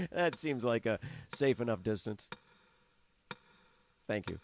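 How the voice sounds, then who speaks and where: An actor playing an earnest radio news reporter in a 1930s-style radio drama, speaking in a formal, dramatic announcer manner. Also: male voice, mid-range voice, big pitch swings, takes it slowly, gravelly, exaggerated voice. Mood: formal, measured. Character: earnest male radio news reporter interviewing on live air. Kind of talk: 1930s radio acting, live news commentary. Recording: noisy old radio recording with clicks.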